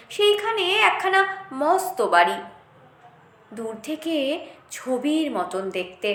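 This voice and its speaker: A woman reading a story aloud in a dramatic, expressive first-person style with deliberate phrasing. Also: high-pitched, roomy sound. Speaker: storyteller, audiobook narrator.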